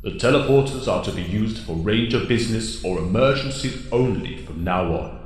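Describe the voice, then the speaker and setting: A man playing a wise, fatherly commander in an audio drama, formal, measured and authoritative. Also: lowish voice, expressive, close to an everyday voice. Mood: solemn, authoritative. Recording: very heavy echo.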